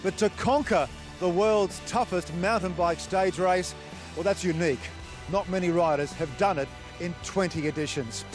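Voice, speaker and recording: A man's voice: sits high, normal speed. Male sports documentary narrator, upbeat scripted read, music underneath. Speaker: race commentator.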